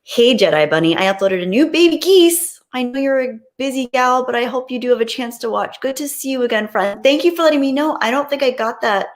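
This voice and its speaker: A woman's voice: sits high, brisk, hardly any pauses. A woman hosting a livestream, chatting casually and reading out viewer comments in a gushing, appreciative, playful way. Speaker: livestream host.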